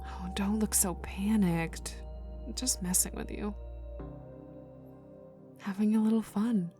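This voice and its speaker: A woman voicing a cocky, teasing, gruffly protective young villain enforcer in an intimate ASMR roleplay, with a casual drawl that drops into whispers. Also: mid-range voice, fairly even intonation, takes it slowly, mellow, lightly acted. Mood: wry, caring. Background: ambient music.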